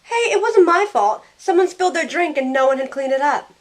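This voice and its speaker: A woman's voice: high voice, lively intonation, brisk. A woman playing a snarky young heroine in an audio drama, narrating in the first person and speaking her lines with wry sarcasm. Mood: teasing. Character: snarky young woman thrown back aboard the Titanic. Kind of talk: first-person scripted narration and acted dialogue.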